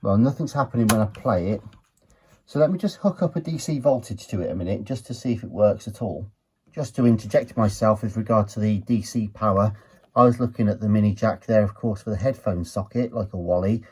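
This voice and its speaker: Husky, mellow-voiced British man. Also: lowish voice, fairly quick. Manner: chatty, thinking aloud. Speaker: host of a retro electronics teardown video. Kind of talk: casual hands-on commentary while examining a gadget.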